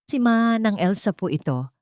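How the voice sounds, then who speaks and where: A woman narrator acts out an anxious Filipino woman who cooks for a household, in an audio story. She speaks respectfully and haltingly, in a dry recording. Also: medium pitch, lively intonation, a little mellow, dry recording, pitched above the performer's own voice, close to an everyday voice. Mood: anxious, pleading. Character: worried, deferential female household cook.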